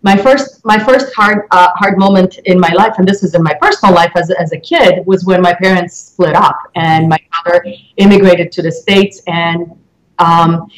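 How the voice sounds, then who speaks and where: A woman in her forties with a Polish accent, giving reflective interview answers in halting, deliberate phrases. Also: fairly low pitch, rough recording. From Poland. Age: 40s. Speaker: interview guest, mortgage loan originator.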